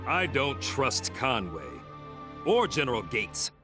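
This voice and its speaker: An actor voicing a calm, thoughtful man in a cartoon, speaking in a measured way. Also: medium pitch. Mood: wary. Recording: music behind.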